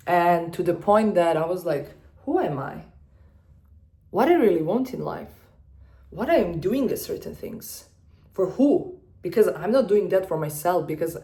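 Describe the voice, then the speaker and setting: A woman in her twenties from Serbia with a Serbian accent, speaking English as a second language in an earnest personal vlog, often pausing to find her words. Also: deep voice, darkish tone. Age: 20s. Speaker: vlogger. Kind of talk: personal talk to camera.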